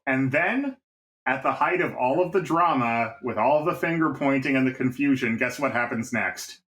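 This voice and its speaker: A man's voice: medium pitch, normal speed, a bit bright. A man co-hosting a podcast, retelling a plot in casual storytelling style. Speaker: podcast co-host.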